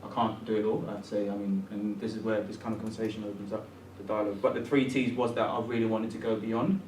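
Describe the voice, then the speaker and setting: A man's voice: lowish voice, level tone, fast, darkish tone, a little hiss or hum. A man, born in England and partly raised in Ghana, speaking as a guest in a conversation, searching for words with many ums and restarts. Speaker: guest programmer. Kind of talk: conversational discussion. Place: room at a public talk event. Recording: roomy, distant mic, faint ambience.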